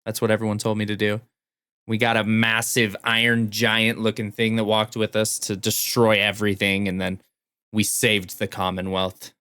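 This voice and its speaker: A man's voice: on the low side, completely flat delivery, normal speed, pushed and bright, clean tone, no echo. A man chatting casually and enthusiastically about video games as a podcast co-host.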